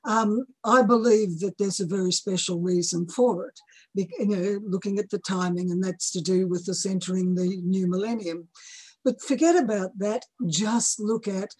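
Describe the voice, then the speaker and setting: A woman in her early seventies with a husky voice, speaking as an interview guest in an emphatic, motivational way and repeating key phrases. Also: mid-range voice, moderate pace, darkish tone, dry recording.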